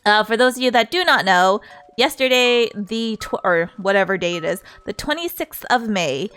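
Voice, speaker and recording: A woman's voice: medium pitch, animated, normal speed, fairly bright tone, clear, resonant tone. A woman livestreamer chatting casually in a playful, giggly, rambling way. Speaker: streamer.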